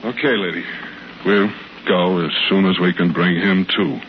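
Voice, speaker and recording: An actor playing a brisk, steady police officer, terse and matter-of-fact, in 1950s radio drama. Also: male voice, deep voice, natural voice. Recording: muffled, noisy old radio recording.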